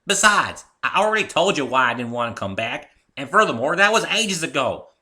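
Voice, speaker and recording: A man's voice: on the high side, big pitch swings, a little fast, bright, forceful tone, voice pushed up, heavily acted. A man voicing a hearty, stubborn young farm mare, a folksy cartoon pony with a Southern country accent, in a story reading. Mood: annoyed.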